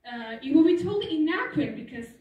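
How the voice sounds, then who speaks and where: A woman's voice: high voice, animated, fast talker, lo-fi sound. A teenage girl, giving an earnest, explanatory prepared talk as a student speaker. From Turkey. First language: Turkish. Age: teens. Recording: echoey hall, voice from far off.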